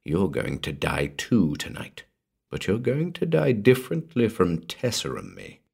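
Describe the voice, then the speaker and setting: A man voicing a softly menacing, vengeful killer in a gentle, low, husky hush for a dramatic story. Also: wildly swooping pitch, exaggerated voice. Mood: cold, murderous calm. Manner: slow, gentle, taunting. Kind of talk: dramatic audiobook character reading.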